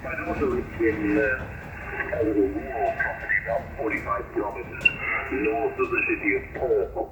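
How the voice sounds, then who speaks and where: Crackly ham radio man, gravelly voice. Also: very high voice, wildly swooping pitch, moderate pace, lo-fi sound. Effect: shortwave radio transmission. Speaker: amateur radio operator. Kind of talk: unscripted two-way ham radio chat. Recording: crackly, noisy, echoey shortwave radio reception.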